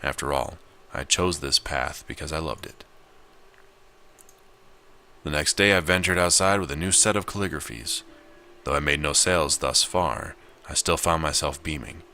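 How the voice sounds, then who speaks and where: A man's voice: extremely low pitch, moderate pace, pushed and bright, slight background noise. A man reading a visual novel aloud and giving casual commentary as he plays. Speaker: video game player and narrator.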